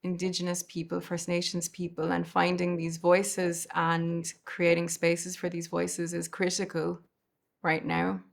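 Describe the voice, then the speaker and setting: A woman from the UK hosts a podcast and speaks in a measured, deliberate way. Her voice is lower than most, with a gravelly fry and a fairly flat melody. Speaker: podcast host. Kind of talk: podcast conversation.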